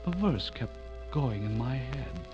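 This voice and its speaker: A man's voice: medium pitch, big pitch swings, slow. An actor playing a frightened, unravelling man who narrates his own horror tale in melodramatic 1940s radio style. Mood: grim, haunted. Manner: melodramatic, haunted, swinging from hushed to frantic. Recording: dull old radio recording under loud music.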